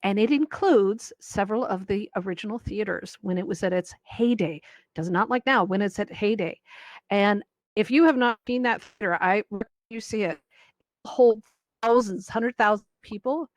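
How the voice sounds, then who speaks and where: A woman's voice: mid-range voice, moderate pace, no room sound. A woman moderating a panel informally, in a wordy, rambling way. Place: virtual reality event, voice over personal microphone.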